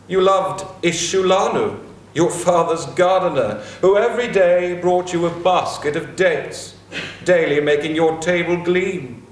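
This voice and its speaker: A man's voice: fairly high pitch, natural voice. A man playing a scornful heroic warrior-king. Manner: slow, weighty, scornful declamation. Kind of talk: theatrical dramatic reading. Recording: echoey room.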